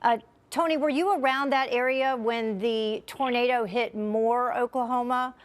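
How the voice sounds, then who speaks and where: A woman's voice: fairly high pitch, fairly even intonation, unhurried. A woman anchoring live breaking news and putting questions to a guest in a broadcast style.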